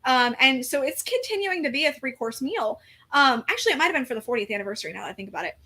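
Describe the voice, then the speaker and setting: A woman's voice: high-pitched, big pitch swings, rapid-fire, sharp tone. A woman in her forties, a podcast co-host, telling a story in casual chat with lots of fillers and restarts. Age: 40s. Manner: rambling storytelling with many fillers.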